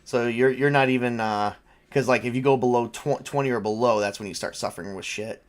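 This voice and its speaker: A man's voice: mid-range voice, brisk. A man casually narrating a tabletop role-playing game as game master, improvising and thinking aloud with some hesitation.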